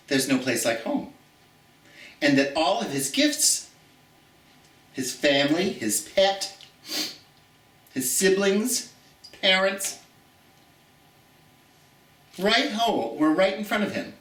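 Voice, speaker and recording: An American man with a fairly high, very lively voice hosts storytime for children. He speaks theatrically and stretches out his key words. The sound is roomy and echoey. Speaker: storytime host. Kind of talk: children's storytime, reading and chatting to viewers. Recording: roomy, echoey, distant from the mic.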